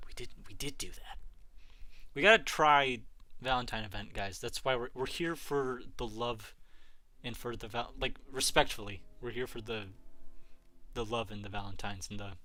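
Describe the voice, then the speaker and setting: Bright-voiced young man streaming. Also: mid-range voice. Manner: chatty, answers chat aloud, laughs often. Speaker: game streamer reading chat. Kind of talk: casual live-stream chat.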